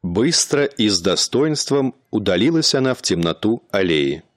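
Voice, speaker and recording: A man narrating a radio play in a measured, even storytelling manner. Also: on the low side, dry recording, clean studio sound.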